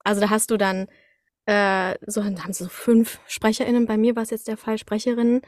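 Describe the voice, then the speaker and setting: A woman talking casually as an interview guest, telling things in an animated, conversational way. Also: medium pitch, brisk, no echo, clean studio sound. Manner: animated storyteller, acts out short quotes, laughs often.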